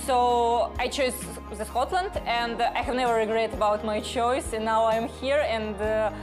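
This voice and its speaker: A woman's voice: fairly high pitch, fairly even intonation, unhurried. An eighteen-year-old woman from Ukraine with a Ukrainian accent, speaking hesitant English with many fillers as she tells her story in an interview. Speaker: football referee. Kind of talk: interview testimony. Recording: music underneath.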